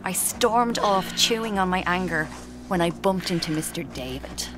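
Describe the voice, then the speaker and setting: A woman's voice: lowish voice, expressive, normal speed, loud music behind the voice, natural voice. An actress in her twenties playing a blunt, restless aspiring writer with an Irish accent in a first-person radio drama. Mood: indignant, sulky. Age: 20s.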